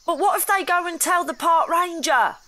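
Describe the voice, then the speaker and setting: An actress playing a wry, uneasy sidekick in an audio drama. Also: female voice, extremely high pitch, moderate pace, pushed and bright, slight background noise. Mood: puzzled, uneasy. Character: wry, uneasy female sidekick in a shady job.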